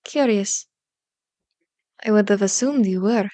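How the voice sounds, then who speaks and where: An ancient, powerful vampire woman, acted by a woman for an ASMR roleplay, speaks slowly and deliberately, savoring each taunt. She is seductive and menacing, teasing her prey in formal phrases. The sound is close and very dry. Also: medium pitch, mellow, dry recording, natural voice. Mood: amused, threatening. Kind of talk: ASMR roleplay, scripted monologue. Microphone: close microphone, dry recording.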